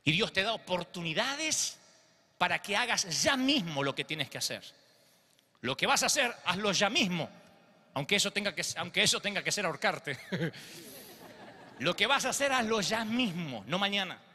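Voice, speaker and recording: Brief: man in his thirties, pastor, high and very sharp-toned, animated stage sermon in a big reverberant hall. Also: moderate pace. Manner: theatrical, acts out quotes, pacing the stage. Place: large glass church auditorium. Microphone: handheld mic.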